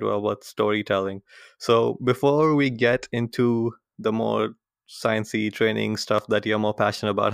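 A man's voice: fairly low pitch, gravelly, smooth, dry recording, clean studio sound. A man hosting a podcast, opening the show with an upbeat scripted intro and then chatting with his guest. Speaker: podcast host.

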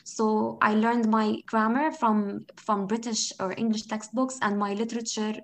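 A woman with a Lebanese accent, whose first language is Arabic, answers interview questions in a thoughtful, searching way. Her voice sits somewhat high, and she often circles back to rephrase a point before settling on it. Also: level tone, measured pace. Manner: reflective, thinks aloud, frequent "you know" and restarts. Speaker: interview guest. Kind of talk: conversational interview.

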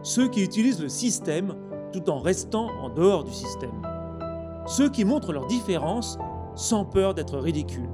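Man, scripted intro read, measured, music bed underneath. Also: high-pitched, big pitch swings. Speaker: podcast host. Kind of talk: scripted narration.

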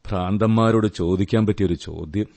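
An actor playing a wounded, world-weary young husband in a radio drama, sardonic and melancholy, speaking in measured phrases. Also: male voice, deep voice, gravelly, darkish tone. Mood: bitter, sardonic. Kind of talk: Malayalam radio drama acting.

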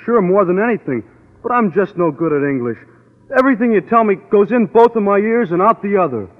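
An actor playing a good-natured, slow-witted, earnest teenage schoolboy in an old-time radio comedy. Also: male voice, high voice, lively intonation, phone-quality sound, slight background noise. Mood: muddled, glum. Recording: thin, reverberant old radio recording.

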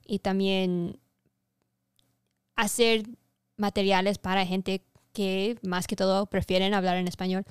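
A woman's voice: medium pitch, smooth, no echo, clean studio sound. A woman co-hosting a podcast in casual, bantering chat. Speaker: podcast co-host.

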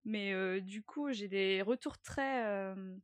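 A woman co-hosting a casual podcast, chatty, often pausing to search for her words. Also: mid-range voice, dry recording. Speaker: podcast co-host.

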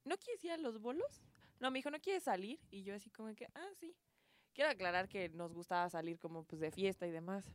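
A woman's voice: medium pitch, a little fast. A young adult woman with a Mexican accent, telling personal anecdotes in casual chat among friends as a co-host.

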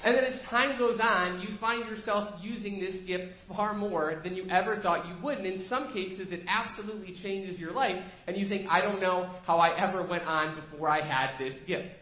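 A man's voice: high-pitched, normal speed, few pauses. A man preaching an evening sermon as pastor, slightly nasal from a cold, talking in a conversational, storytelling way in a church. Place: church sanctuary. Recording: echoey church room, dull lo-fi sound.

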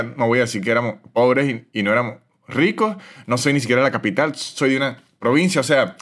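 Venezuelan man in his thirties, easygoing podcast host, talks animatedly at a middling pitch. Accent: Venezuelan Spanish. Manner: chatty, animated, swings between loud and soft. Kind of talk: casual solo podcast monologue with ad reads.

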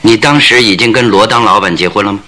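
An actor in a radio play voicing a composed, probing male detective who questions deliberately, with thoughtful hums. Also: fairly low pitch, bright, forceful tone.

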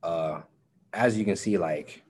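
Relaxed young man, Liberian accent. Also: low-pitched, slow, a little hiss or hum. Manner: relaxed, trails off into softer speech. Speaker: guest. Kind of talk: casual discussion.

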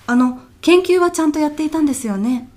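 A woman playing an elderly widow in an audio comedy sketch, polite and soft-spoken, with deadpan, bewildered reactions. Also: high-pitched, fast talker. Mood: bewildered, exasperated.

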